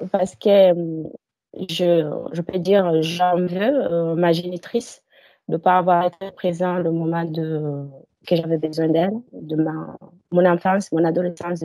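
Woman in her thirties, hesitant, low-pitched, warm tone, dry close sound, video call. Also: no room sound. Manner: hesitant, many fillers and restarts. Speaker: hypnosis client. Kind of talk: telling her personal story.

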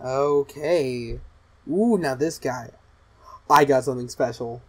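A woman's voice: rumbling low, slow pace, full character voice. Woman playing a gleefully sadistic gamer girl streamer. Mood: casual, annoyed. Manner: excitable, mischievous, giggly. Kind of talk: roleplay of a livestream.

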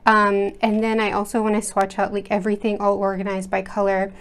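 A woman's voice: medium pitch, monotone, a little fast. An American woman hosting an art video, chatting casually and rambling with lots of fillers and restarts while she works at her desk. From United States. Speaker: art channel host. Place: indoors at a home desk. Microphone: overhead camera setup, speaker off-screen.

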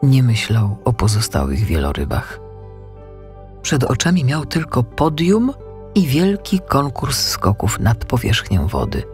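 A man narrating a scripted children's audiobook with expressive storytelling. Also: mid-range voice, measured pace, faint music behind.

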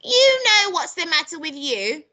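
A woman's voice: fairly high pitch, sing-song, brisk, bright, forceful tone, slightly rough, exaggerated voice. A woman voicing a wicked, snappish little old grandmother in a storybook, bossy, scolding and relishing nasty things.

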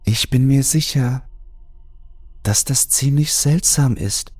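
A man voicing a polite, calm, reassuring woman speaking from a safe in a horror audiobook. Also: mid-range voice, slow pace, breathy edge, pitched above the performer's own voice.

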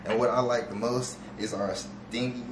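A young man demonstrates a recipe in a large home kitchen, speaking at a middle pitch in a steady, instructive way. His voice reaches the camera with noticeable room echo, and a clear background noise sits under everything. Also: normal speed. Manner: steady, instructive, with fillers like "you know". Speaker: guest chef. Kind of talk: casual cooking demonstration. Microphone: far camera. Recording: echoey kitchen, noisy.

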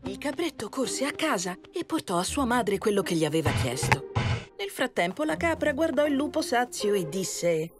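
Animated woman narrating a fairy tale. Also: on the high side, moderate pace, music in the background. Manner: expressive storytelling. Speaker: storyteller, narrator.

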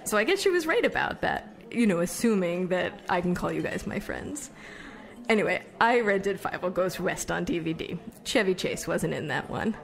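A woman's voice: fairly low pitch, lively intonation, moderate pace, creaky. An actress playing a chatty, self-deprecating young American woman who wants fame, telling stories on stage in an audio drama. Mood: chatty, confiding. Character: chatty young Somerville woman set on becoming famous. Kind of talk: conversational stage storytelling monologue.

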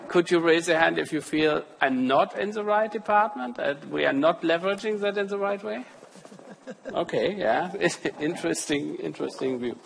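A man's voice: fairly high pitch, animated, moderate pace, vocal fry, slight background noise. A man moderating a conference panel, giving brief, upbeat prompts to the audience. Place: large conference hall.

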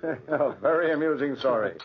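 An actor playing a calm, methodical male police inspector in a 1940s radio drama, with polite and probing questions. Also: on the high side, moderate pace, slightly rough. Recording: thin, phone-like old radio broadcast.

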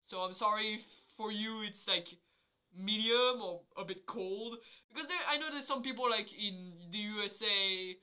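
A young woman with a cold talks straight into her webcam from a small bedroom. Her voice is bright and forceful, and she rambles loosely, filling gaps with "like" and laughing often. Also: mid-range voice, normal speed, clear, resonant tone. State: has a cold. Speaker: vlogger. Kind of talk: casual chat to camera. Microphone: webcam at desk.

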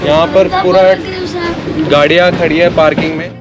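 A man's voice: fairly high pitch, little pitch movement, normal speed. Chatty male travel vlogger over music. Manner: chatty, addresses viewers, mixes English words into Hindi. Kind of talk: casual travel vlog narration. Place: on a train and at stops along the way. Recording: loud background music.

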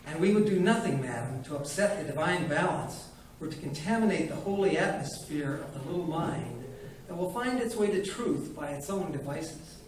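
A man's voice: fairly high pitch, animated, normal speed, close to an everyday voice. A man voicing a pompous old male quack who reads heads, speaking in a haughty, grandiloquent way. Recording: very echoey room, mic at a distance, faint noise.

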